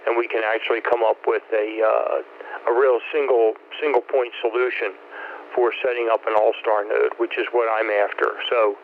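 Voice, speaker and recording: A man's voice: mid-range voice, level tone, moderate pace. Older man on noisy narrow-band ham radio. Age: 70s. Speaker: amateur radio net host. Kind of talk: casual ham radio chat. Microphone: two-way radio.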